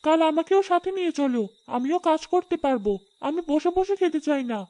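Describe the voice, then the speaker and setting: A woman playing a gentle, self-doubting young fairy living as a human in a cartoon fairy tale, earnest and plaintive. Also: sits high, expressive, a little fast. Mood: determined, pleading.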